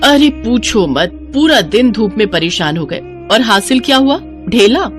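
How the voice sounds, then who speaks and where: A woman's voice: on the high side, big pitch swings, measured pace, over music. A woman voicing a greedy, scheming sister-in-law in a moral story, pushy and complaining.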